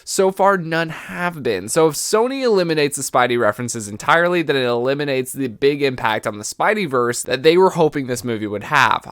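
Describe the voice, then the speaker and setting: A man's voice: a little high, a bit bright, no room sound, clean studio sound. A man hosting an online video, delivering scripted movie news commentary with emphatic, rhetorical questions. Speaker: YouTube host.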